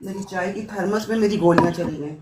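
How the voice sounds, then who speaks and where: A woman's voice: mid-range voice, brisk, background noise. A woman teaching grammar in an informal chatty way, slipping in English phrases. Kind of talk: informal online lesson chat.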